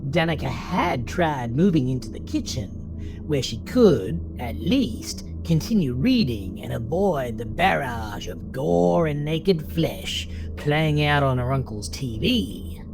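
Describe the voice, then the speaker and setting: A man narrating a scripted horror story in a measured, dramatic storytelling manner. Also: a little high, big pitch swings, poor audio. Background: music.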